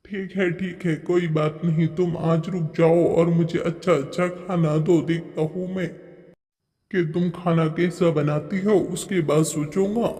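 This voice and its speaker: A man's voice: on the high side, fairly even intonation, unhurried, dark tone, lightly acted. A man voicing a tired old male eagle in a cartoon, grumbling and commanding. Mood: grudging. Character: tired old male eagle, gruff yet kindly, demanding. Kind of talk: Hindi moral-story cartoon voice acting. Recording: long hall reverb.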